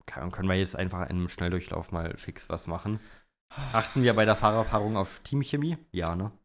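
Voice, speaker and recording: A man's voice: sits low, brisk, slight background noise. A young man co-hosting a podcast, chatting casually and answering listener questions in informal youth slang. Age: young adult. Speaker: podcast host.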